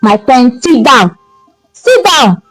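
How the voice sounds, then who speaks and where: A woman's voice: high-pitched, sing-song, slow, fairly bright tone, no room sound, soft background music, full character voice. A woman with a Nigerian accent voicing a no-nonsense mother who nags her grown son with emphatic questions in a folktale. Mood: probing, scolding. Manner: emphatic, nagging rhetorical questions.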